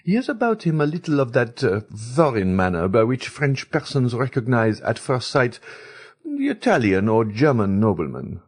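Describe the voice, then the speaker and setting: A man doing a worldly, plain-spoken baroness in a high, lilting register that swoops up and down. The voice is breathy and slightly husky underneath, as the audiobook narrator puts her on. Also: wildly swooping pitch, moderate pace. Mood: chatty. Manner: lilting raised falsetto. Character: worldly middle-aged French baroness, frank. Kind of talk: audiobook character reading.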